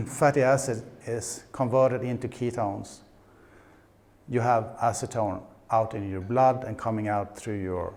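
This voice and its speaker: Middle-aged Swedish man, conference speaker, unhurried and hesitant, lots of fillers, dry humor. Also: medium pitch, a little mellow. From Sweden. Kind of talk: conference talk. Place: conference stage.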